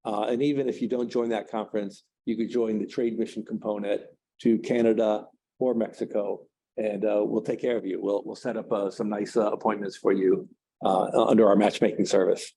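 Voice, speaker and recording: A man giving a seminar talk on trade, speaking haltingly with many fillers and restarts. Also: fairly low pitch, little pitch movement, gravelly, mellow, slight background noise. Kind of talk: seminar talk, answering questions.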